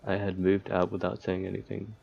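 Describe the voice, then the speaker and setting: Gravelly low-voiced man, casual gaming commentary. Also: flat delivery, fairly quick, darkish tone, muffled recording. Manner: mock-despairing asides, stumbles while reading. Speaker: gaming video host. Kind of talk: casual gameplay commentary, reading game text aloud.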